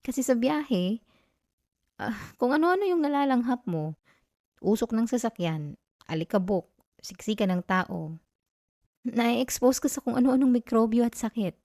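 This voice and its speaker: A woman with a Filipino accent playing an affectionate, caring girlfriend in a soft, intimate ASMR roleplay, speaking gently and coaxingly. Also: on the high side, expressive, a little slow, pauses often, no room sound, studio quality. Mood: gently worried. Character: affectionate, caring, playfully scolding young girlfriend.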